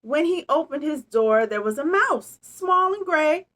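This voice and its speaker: Slow, very animated woman reading storytime. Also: sits high. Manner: expressive, playful, chatty. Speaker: storytime reader. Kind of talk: read-aloud with casual asides to children.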